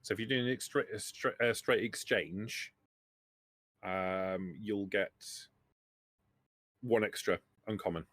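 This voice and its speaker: A man's voice: on the low side, steady tone, takes it slowly, long pauses, fairly bright tone, no echo. A man acting as game master in a casual tabletop roleplaying session. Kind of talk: casual tabletop game talk, speaking for characters.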